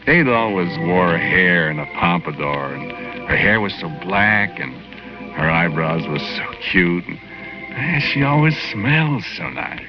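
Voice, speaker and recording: Low-voiced, folksy ghost on old radio. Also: male voice, expressive, unhurried. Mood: wistful, reminiscing. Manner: folksy, ungrammatical, apologetic, rambling storyteller. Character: ghost of a murdered small-time guy, naive, sheepish, friendly. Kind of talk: 1940s radio drama acting, first-person narration. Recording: dull old 1940s radio recording with music.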